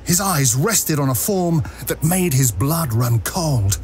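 A man's voice: on the high side, lively intonation, takes it slowly, darkish tone, a little hiss or hum. A man narrating a ghostly tale for an audio drama in a theatrical storytelling manner.

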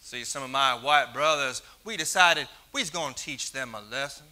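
A man's voice: medium pitch, normal speed. A man playing a sneering bomber. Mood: boastful. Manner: taunting, mocking, swings from muttering to shouting. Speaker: actor in a church skit. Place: church stage with audience.

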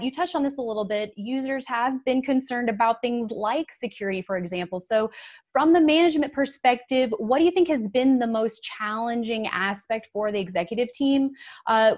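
Polished woman hosting a business show. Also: fairly high pitch. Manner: polished, upbeat presenting. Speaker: news show host. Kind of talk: business news interview. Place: TV studio.

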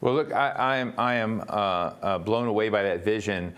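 A man's voice: lowish voice, normal speed, fairly bright tone, clean tone. A man hosting a televised interview from a studio, asking questions in a conversational way with some stumbling restarts. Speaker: interview host.

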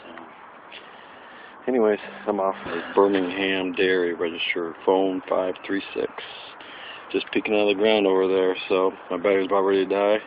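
A man chatting excitedly while digging for old bottles in the woods, recorded on a handheld camera. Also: low-pitched, normal speed. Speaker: metal detecting vlogger. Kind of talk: casual outdoor vlog narration. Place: wooded area outdoors. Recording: tinny lo-fi camera audio with noise.

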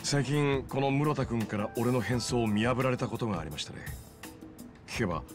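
A man's voice: mid-range voice, normal speed, music in the background, close to an everyday voice. A man voicing a wry, easygoing, savvy underworld figure in a video game cutscene, talking in a polite but casual way. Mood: wry, explaining.

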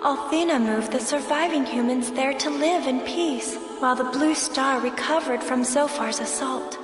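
Woman as solemn young game heroine telling an old legend, high earnest voice, big reverb over music. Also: moderate pace, lightly acted. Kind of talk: scripted game cutscene narration. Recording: echoing reverb, music behind.

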